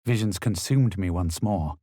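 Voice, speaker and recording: Low, husky, brooding adventurer. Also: male voice, unhurried, dark tone, no room sound, studio quality. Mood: solemn, brooding. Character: brooding male fantasy adventurer recounting his visions. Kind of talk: dramatic first-person game narration.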